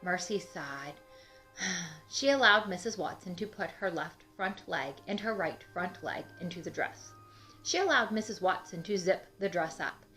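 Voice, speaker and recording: Woman, bright forceful voice, storybook read-aloud, expressive, talks to children, music underneath. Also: lowish voice, unhurried. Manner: expressive, addresses children directly. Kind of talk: storybook narration with questions to young listeners.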